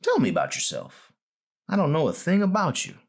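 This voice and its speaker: A man's voice: on the high side, wildly swooping pitch, fairly quick, vocal fry, pitched above the performer's own voice, full character voice. A man reads as a frail old hospital patient in a storybook. He is ornery and fond of teasing, with a voice that rises and falls freely. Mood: probing. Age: older.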